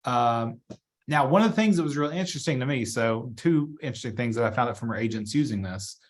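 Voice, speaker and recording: A man giving a casual software walkthrough as a trainer, chatty and informal, thinking aloud as he works. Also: mid-range voice, animated, fast. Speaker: real estate trainer.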